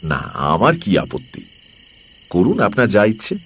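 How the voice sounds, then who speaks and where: A man playing a calm, knowing occult priest who recounts a horror story in a measured, explanatory way. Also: on the low side, a little hiss or hum, heavily acted. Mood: calm, candid. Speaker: narrator. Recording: long reverb, faint music behind.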